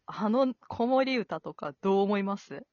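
A woman's voice: medium pitch, lively intonation, measured pace, a little mellow, no room sound. A woman with a Kansai accent chatting casually as a host on an amateur after-show talk. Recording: dull lo-fi audio.

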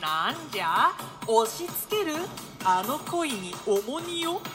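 Radio play: rowdy, fanciful young wit, woman performer, high pitch, flamboyant emphatic antique declamation over clear music. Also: sing-song, takes it slowly. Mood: teasing. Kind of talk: radio drama in archaic theatrical Japanese.

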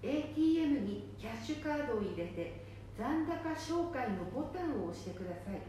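In a very echoey room, a woman acts as a fake official on the phone for a radio play. Her high, mellow voice is polite and procedural, smoothly instructing. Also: very animated, brisk. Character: smooth-talking female scam caller posing as official. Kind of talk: amateur radio drama acting. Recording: echoey room with faint background noise.

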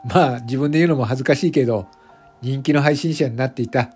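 A self-conscious factory worker who became a popular voice streamer , acted by a man confides his story in an audio drama monologue. The voice is mid-pitched, with faint music underneath. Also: fast, darkish tone, close to an everyday voice. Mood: confiding, modestly proud. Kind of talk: confessional first-person radio drama monologue. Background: background music.

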